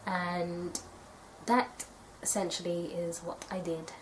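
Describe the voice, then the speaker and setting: A young woman from the UK chatting casually to camera in a tag video, her nose stuffy from a cold and her voice a little raspy. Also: on the low side, level tone, takes it slowly, slight background noise. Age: young adult. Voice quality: slightly raspy. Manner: chatty, thoughtful, rambling with fillers. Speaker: vlogger.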